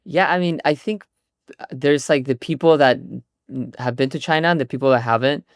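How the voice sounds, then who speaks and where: A man in his thirties from Chile, a founder answering interview questions in a relaxed, chatty way. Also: medium pitch, moderate pace, no echo, studio quality. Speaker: guest, startup founder.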